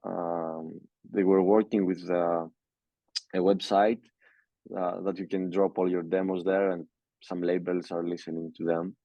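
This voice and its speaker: A young man from Greece with a Greek accent, speaking English as a second language in a casual interview and talking haltingly with many fillers and restarts. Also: deep voice, level tone, no echo, dull audio. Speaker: music producer, guest.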